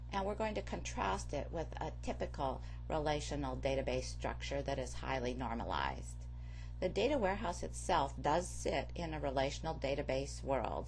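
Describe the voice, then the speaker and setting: Low-voiced woman giving a slide lecture. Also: darkish tone. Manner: measured, explanatory. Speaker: course instructor. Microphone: voice-over over slides. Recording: faint background hum.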